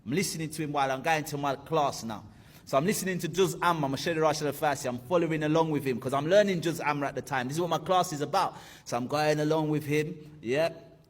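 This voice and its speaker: Man, 30s, animated storytelling, acts out other voices, slightly high-pitched. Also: fast talker. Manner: acts out voices of friends and teacher in quotes. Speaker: speaker telling his conversion story.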